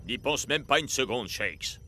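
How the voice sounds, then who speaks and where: A man's voice: mid-range voice, expressive, measured pace, over loud music, natural voice. A man voicing a strict, methodical soccer coach in a cartoon dub, giving firm instructions. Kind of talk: animated cartoon dubbing.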